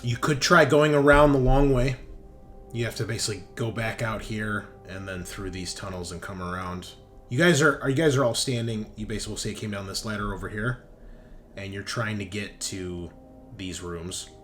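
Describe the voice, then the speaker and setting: A man's voice: fairly low pitch, moderate pace. A man narrating a tabletop roleplaying game as game master, improvising in a casual, conversational way. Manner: casual, conversational, acts out characters' lines. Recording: loud background music. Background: music.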